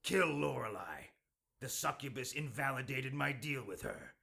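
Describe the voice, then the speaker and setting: A man playing a pompous, menacing demon lord in an audio drama, commanding and gravelly. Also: mid-range voice, lively intonation, moderate pace, bright, forceful tone.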